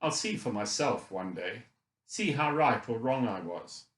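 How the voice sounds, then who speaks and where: A man's voice: mid-range voice, lively intonation, measured pace, a bit bright, echoey room. A man voicing an injured, frustrated boy who thinks aloud in a chatty, candid, colloquial way, as first-person audiobook narration. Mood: fond. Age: child.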